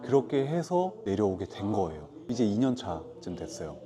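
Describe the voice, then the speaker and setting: A man's voice: lowish voice, very animated, normal speed, a crowd in the background. A man in his twenties, a business owner, telling his story in a plain, matter-of-fact way during a casual interview. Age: 20s. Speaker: guest, lodging business owner. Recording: music bed under the talk.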